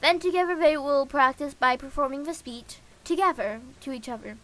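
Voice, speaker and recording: A woman's voice: sits high, fairly quick, pushed and bright. A woman streaming a game, reading visual novel dialogue aloud in a casual, playful way.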